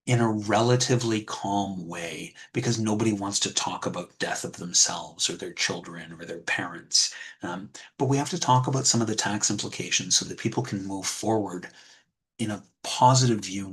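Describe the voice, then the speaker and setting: A lowish, slightly husky male voice, speaking calmly over a computer mic on a video call. He walks through his points steadily and takes many small pauses and restarts. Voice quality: husky, soft. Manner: calm, measured, with frequent ums and restarts. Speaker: insurance wholesaler presenting a webinar. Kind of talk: webinar presentation with screen share.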